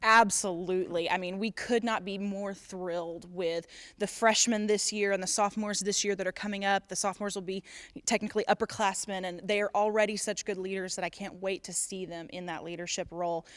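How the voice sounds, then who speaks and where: A woman's voice: mid-range voice, steady tone, fairly quick, no room sound. A woman speaking enthusiastically as a school theatre director giving interview answers.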